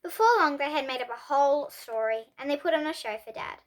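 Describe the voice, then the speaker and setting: A young girl reading a children's bedtime story aloud in an expressive way. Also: sits high, brisk. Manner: expressive, with emphatic character dialogue.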